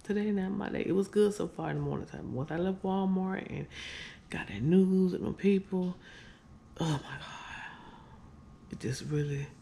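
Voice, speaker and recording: Tired woman vlogger, gravelly low fry, casual y'all chat, faint room noise. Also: a little slow, warm tone. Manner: chatty, tired, addresses viewers as y'all. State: weary. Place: living room, on couch, indoors. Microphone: handheld camera at close range.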